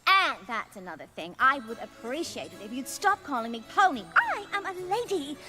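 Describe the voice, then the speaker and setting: Fussy ladylike cartoon pony, very high voice, lively swoops, haughty, theatrical, refined diction. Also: a little fast, over loud music. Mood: haughty, complaining. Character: prissy, dramatic, fussy ladylike young female pony.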